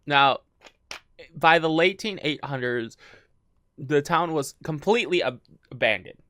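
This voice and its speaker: A woman hosts a true crime podcast and tells the story as a casual chat. Her pitch swings widely and she often breaks into giggles. The sound is close and dry. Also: expressive, measured pace, fairly bright tone, dry recording. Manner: giggly, stumbles over words.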